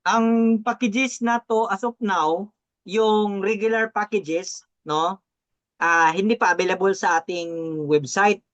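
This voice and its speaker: Very high-voiced, slow-talking male coach, muffled audio. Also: fairly even intonation, a bit bright. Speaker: coach and presenter. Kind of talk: step-by-step live tutorial.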